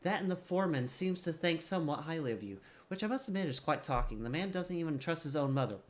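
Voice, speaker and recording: A man voicing a dry-witted woman military captain in a video game, formal and brisk as orders are given. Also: fairly high pitch, animated, close to an everyday voice. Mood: dry, wry.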